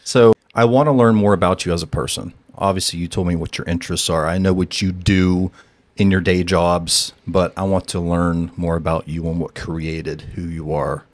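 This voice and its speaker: A man's voice: deep voice, fairly even intonation, moderate pace, no room sound. A man hosting a podcast, asking his guest questions in an easygoing, joking way. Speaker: podcast host. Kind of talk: casual interview.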